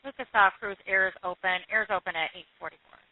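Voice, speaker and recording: A woman's voice: mid-range voice, fast, heavy background noise. A woman working as a police dispatcher, talking in terse, businesslike radio procedure. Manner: clipped, businesslike. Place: dispatch room, recorded over phone and radio lines. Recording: narrow muffled phone and radio line.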